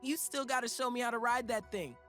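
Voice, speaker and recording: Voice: medium pitch, a little fast, fairly bright tone, natural voice. A performer playing a cocky, friendly teenage boy inventor in a video game, breezy and teasing. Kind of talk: game character banter. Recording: game clip with music underneath.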